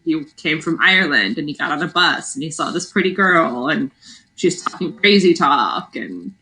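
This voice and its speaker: Bright-voiced woman in casual book chat. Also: sits low, animated, normal speed, low-quality recording. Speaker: podcast co-host discussing a book.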